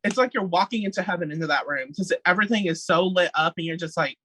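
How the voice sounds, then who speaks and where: A man's voice: sits high, fast, sharp tone, husky, no room sound. A young man, a singer, chatting playfully as a podcast guest and laughing often. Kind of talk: casual interview chat.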